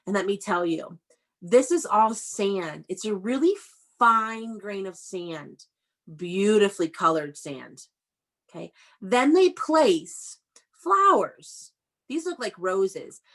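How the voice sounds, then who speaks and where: Slow-paced woman teaching young children. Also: mid-range voice, animated, fairly bright tone. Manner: instructive, prompting with questions and repetition. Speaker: elementary school teacher. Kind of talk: interactive read-aloud lesson for young children over video call. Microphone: video call.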